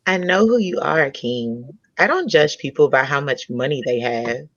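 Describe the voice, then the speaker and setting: A woman's voice: extremely low pitch, lively intonation, moderate pace, no echo. A woman in a comedy table read playing a forthright, good-natured sweetheart, talking directly and conversationally, on dull audio. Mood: firm, frank. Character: forthright, good-natured young woman courting a shy man.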